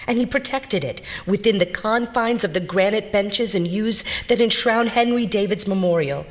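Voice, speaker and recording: A woman's voice: medium pitch, natural voice. An actress playing a grave, dignified lady who solemnly tells a ghost story in a period audio drama. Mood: insistent, haunted.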